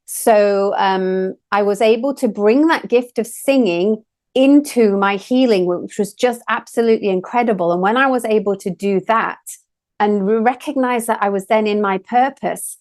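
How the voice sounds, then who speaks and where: A woman's voice: mid-range voice, moderate pace, darkish tone. A woman in her forties with an English accent, talking earnestly and personally as a show's co-host. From England. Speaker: co-host, energy and sound healer. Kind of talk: personal, conversational talk.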